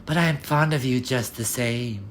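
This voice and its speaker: A man voicing a kind young woman, a devoted daughter in a fairy tale, soft and earnest. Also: mid-range voice, normal speed, a little hiss or hum, pitched above the performer's own voice, close to an everyday voice. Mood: gentle, earnest.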